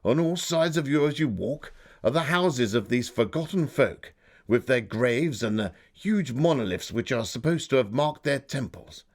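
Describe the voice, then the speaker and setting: Man playing an earnest Victorian gentleman diarist. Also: mid-range voice, animated, moderate pace. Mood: awed, reflective. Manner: literary, solemn, dramatic storyteller. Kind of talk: dramatic audiobook-like diary reading.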